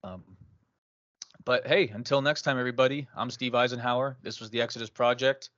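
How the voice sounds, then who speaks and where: A man's voice: mid-range voice, steady tone, fairly quick, a bit bright, dry recording, lo-fi sound. A man hosting a religious discussion podcast, talking casually and laughing as he tells a story. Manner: conversational, laughs while telling stories. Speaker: podcast host.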